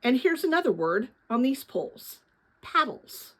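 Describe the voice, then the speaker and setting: A woman's voice: high voice, sing-song, measured pace, full character voice. A woman voicing an eager, inquisitive girl in a storybook, earnest and emphatic as she puzzles things out. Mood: excited.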